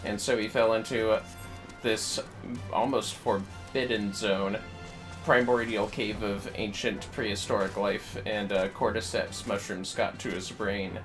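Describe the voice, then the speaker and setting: A man hosting a livestream, chatting casually and thinking aloud in a rambling, halting way as he plays a game. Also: fairly low pitch, steady tone. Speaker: livestream host. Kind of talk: casual chat while playing a game. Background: music.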